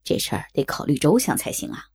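A woman playing a cautious, hesitant deputy mayor who speaks in a measured, deliberate way in an audio drama. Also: darkish tone, close to an everyday voice. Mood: cautious, firm.

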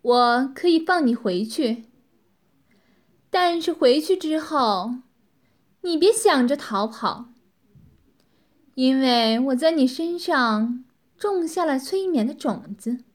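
A cruel young villainess speaks in a high, slightly husky voice , acted by a woman narrator. She is mocking and domineering, giving slow, taunting commands as in an audiobook drama. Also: expressive, exaggerated voice. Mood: threatening.

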